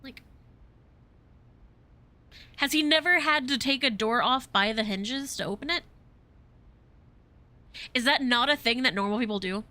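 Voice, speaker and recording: A woman's voice: on the high side, measured pace, pauses often, pushed and bright, no echo. Stuffy-nosed woman streamer, teasing. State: stuffy nose. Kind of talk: casual gaming commentary.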